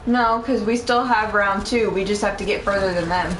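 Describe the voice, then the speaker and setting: A woman's voice: mid-range voice, moderate pace. A woman chatting casually and informally as she plays a video game with a teammate. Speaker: co-player on a game stream. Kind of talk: casual gameplay chat. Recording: echoey room with faint background noise.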